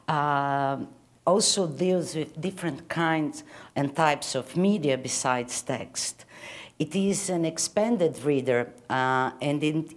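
Slow, low Brazilian woman lecturing. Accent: Brazilian Portuguese. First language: Portuguese. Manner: measured and thoughtful, with frequent fillers. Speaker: conference speaker. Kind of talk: academic lecture. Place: stage of a lecture hall.

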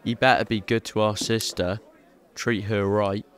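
An actor playing a blunt, teasing, protective older brother. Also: male voice, on the low side, little pitch movement, a little slow, a bit bright. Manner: blunt, mocking. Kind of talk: audio drama acting.

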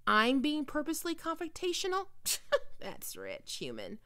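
A woman's voice: high-pitched, huge pitch swings, unhurried, bright, forceful tone, no room sound, clean studio sound, heavily acted. A woman playing a prickly, flustered young vampire cat-girl in an audio roleplay, sarcastic and defensive. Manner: sarcastic, defensive, sighing asides. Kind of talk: ASMR roleplay monologue.

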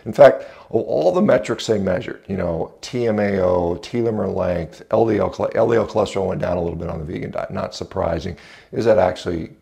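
A man with an American accent speaks in a deep voice full of heavy creak. He gives unscripted opinions bluntly and dismissively, talking conversationally as if straight to a viewer. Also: brisk, warm tone. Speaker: video reviewer and commentator. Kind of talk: unscripted opinion commentary to camera. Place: indoors, home entrance hall. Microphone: small clip-on lapel mic.

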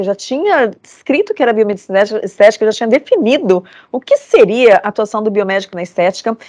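A woman's voice: on the high side, a little mellow, no echo. A woman in her forties from Brazil, giving an earnest, emphatic motivational talk to students over a video call. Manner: earnest, emphatic, repeats phrases for conviction. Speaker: lecturer.

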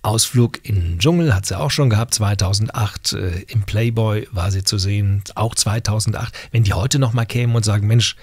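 A man's voice: on the low side, moderate pace, few pauses, slightly rough, no room sound. A middle-aged man hosting a casual radio interview in a teasing, jovial manner, close on a studio microphone. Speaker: radio talk show host. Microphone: close broadcast microphone on boom arm.

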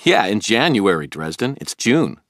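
A man's voice: fairly low pitch, sing-song, normal speed, a bit bright, no room sound, clean studio sound, voice pushed up. A man voicing an earnest young man who pesters and pleads with his friend in a storybook. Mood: concerned. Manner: insistent, pleading.

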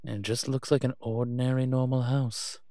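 A man's voice: medium pitch, flat delivery, moderate pace, warm tone, no room sound, studio quality, lightly acted. A man playing a refined, centuries-old vampire in a roleplay audio drama, pompous, wry and teasing. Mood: wry, brisk. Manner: pompous, wry, posh turns of phrase.

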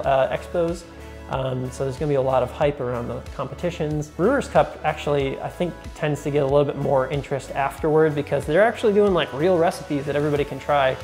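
A young man hosting a casual talk to camera, enthusiastic and chatty with many fillers, in a bright indoor room. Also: fairly high pitch, creaky. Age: young adult. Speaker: host.

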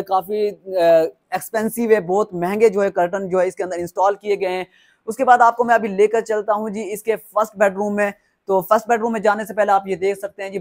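A man with a Pakistani accent presenting a house tour enthusiastically, with effusive, repetitive salesman patter. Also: high voice. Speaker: property tour host.

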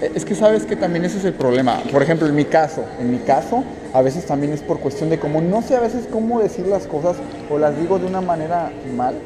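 A man's voice: a little high, expressive, a little fast, few pauses, over music. A Mexican man with a Mexican accent, vlogging while he walks and asks his friend many questions, filmed on an action camera held at arm's length. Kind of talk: casual chat while walking. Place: outdoors on a rainy city street and in a shopping arcade. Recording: noisy street background.

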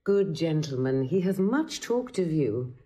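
A woman's voice: deep voice, very animated, measured pace, warm tone, faint music behind. An actress playing a gracious, courtly mature queen and anxious mother in a classical Shakespearean audio drama.

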